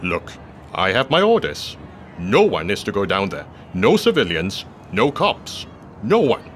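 A man's voice: lowish voice, wildly swooping pitch, a little slow, a bit bright, slight background noise, full character voice. An actor playing a strained police officer caught between orders and fear for his family. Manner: strained, uneasy. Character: anxious male police officer torn by orders. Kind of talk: audio drama acting.

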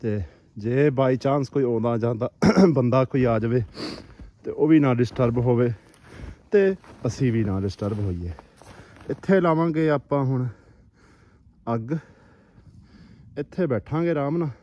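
A man's voice: mid-range voice, unhurried, long pauses, dark tone, no room sound. A man with a Punjabi accent narrating a casual outdoor vlog, chatting to his viewers. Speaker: vlogger. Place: outdoors in a snowy forest. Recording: noisy outdoor phone camera audio, clicks.

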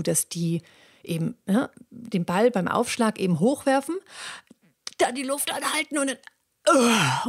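A woman hosting a podcast, explaining how the voice works in a lively, chatty monologue with many fillers and repeats. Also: fairly low pitch. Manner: lively, acts out little dialogues in quick voices; frequent fillers and repeated words. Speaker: podcast host and voice coach.

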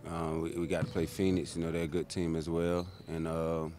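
Deep-voiced laid-back basketball player. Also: male voice, monotone, noisy background. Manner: laid-back, halting, many fillers. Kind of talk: media scrum answers. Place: empty arena seats, indoors. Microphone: handheld reporter mics held close.

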